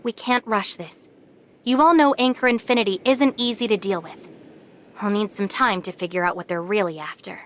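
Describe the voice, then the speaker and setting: A woman voicing an earnest, cautious young heroine in an anime game, measured and sincere. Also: medium pitch, a little hiss or hum, natural voice. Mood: serious, cautious.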